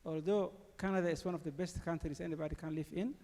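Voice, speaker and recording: Gravelly Somali man on a panel. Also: on the high side, fairly even intonation, mellow. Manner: halting, many fillers. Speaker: think tank panelist. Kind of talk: personal panel statement. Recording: long reverb of a large hall.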